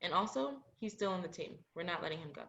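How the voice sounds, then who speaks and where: Young actress as caring best friend, lower-pitched, gentle, supportive, muffled lo-fi student play recording. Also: female voice, animated, fast, vocal fry. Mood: calm, protective. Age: young adult. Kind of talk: student one-act play acting. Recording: dull lo-fi audio.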